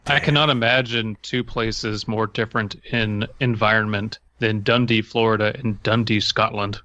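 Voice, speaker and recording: A man's voice: on the low side, fairly even intonation, moderate pace, pushed and bright, no echo, dull audio. A man co-hosting a horror fiction podcast, reading aloud and making wry, dry asides. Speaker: podcast co-host reading stories.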